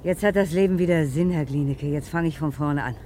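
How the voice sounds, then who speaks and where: An actress playing a hesitant, anxious woman in a radio drama, halting and repeating her words, with background noise. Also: sits low, dark tone, lightly acted. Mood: relieved. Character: hesitant, anxious woman returned from wartime Paris.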